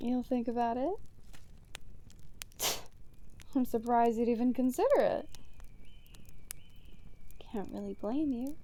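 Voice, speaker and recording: A woman playing a gleeful, chaos-loving female supervillain in an ASMR roleplay, teasing and breathy, often near a whisper. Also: fairly high pitch, warm tone, natural voice. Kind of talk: ASMR roleplay monologue to the listener.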